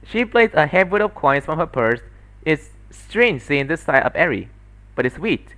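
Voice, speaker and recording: A man's voice: a little high, big pitch swings, normal speed, a bit bright. A man giving scripted narration for a solo fandub of a story.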